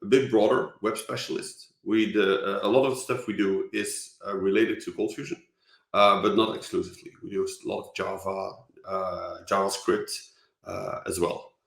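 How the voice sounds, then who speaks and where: A man with a Dutch accent gives an online conference talk in a fairly low voice. He stops and restarts often, filling gaps with ums. His voice carries the echo of the room around him. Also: fairly even intonation, vocal fry. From Netherlands. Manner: halting, many fillers and restarts. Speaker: conference speaker.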